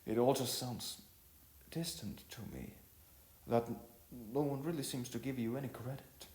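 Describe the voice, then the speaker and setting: A man voicing a gentle, caring, inquisitive girl in a narrated story, soft and halting. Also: medium pitch, echoey room, voice pushed up, close to an everyday voice. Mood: sympathetic.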